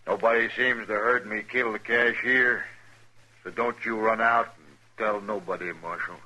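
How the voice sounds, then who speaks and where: A man's voice: fairly low pitch, fairly even intonation, moderate pace, bright, forceful tone, low-quality recording. An actor playing a cold-blooded, taunting bank robber in a 1950s western radio drama, drawling his threats. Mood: cold menace.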